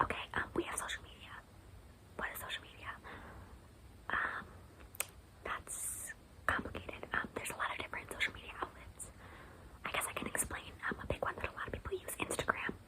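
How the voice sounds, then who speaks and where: Whispering woman, excitable ASMR roleplay. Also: low-quality recording. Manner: excitable, rambling, explaining. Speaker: ASMR content creator.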